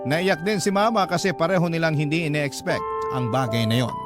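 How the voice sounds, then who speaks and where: Filipino man narrating over loud music. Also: on the high side, brisk. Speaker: radio story narrator and host. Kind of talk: dramatic narration of a listener's letter.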